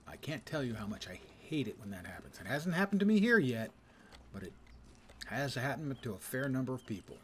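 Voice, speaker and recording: Male livestreamer, medium pitch: casual, muttered, wry play-by-play with noticeable game noise behind him. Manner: chatty, wry muttering to himself and viewers. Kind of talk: casual live gameplay commentary. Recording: noisy, game sound behind. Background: video game ambience.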